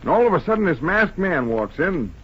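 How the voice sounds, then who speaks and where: An actor playing an earnest, plain-spoken small-town bank teller in an old western radio drama. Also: male voice, fairly high pitch, very animated, fairly quick. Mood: flustered. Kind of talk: 1950s western radio acting. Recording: muffled, hissy old radio recording.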